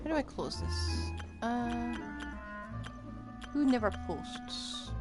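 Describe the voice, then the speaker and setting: Lively female game streamer. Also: fairly high pitch, takes it slowly. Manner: talks to self, asks own questions. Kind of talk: casual live gameplay commentary. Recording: game music behind the voice. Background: game music.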